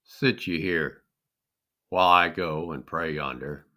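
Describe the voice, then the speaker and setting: Grave, tender male teacher of scripture, acted by a man reading aloud, drawn-out phrases, forceful and clear-edged tone. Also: rumbling low, voice pushed down, exaggerated voice. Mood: sorrowful. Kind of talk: dramatic scripture storytelling.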